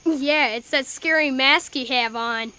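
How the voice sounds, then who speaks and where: A woman's voice: high-pitched, unhurried, bright, forceful tone, clean tone. A young female-sounding performer playing a brash, taunting boy prankster in an amateur radio drama. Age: child. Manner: brash, teasing.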